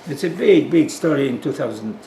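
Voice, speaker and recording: Man, Polish accent, older, gravelly, earnest and halting, roomy home recording, faint hiss. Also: mid-range voice, animated, warm tone. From Poland. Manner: earnest, explanatory, halting with fillers. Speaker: physician guest. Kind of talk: sit-down interview talk. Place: living room of a home.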